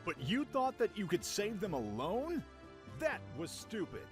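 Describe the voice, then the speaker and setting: Man as a hot-headed young captain, very high for a male, wildly animated, blunt, radio play. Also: unhurried, music in the background, heavily acted. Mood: scolding, commanding. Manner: blunt, exclamatory. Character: brash, hot-headed young male leader, teasing and protective. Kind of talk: fan radio drama acting.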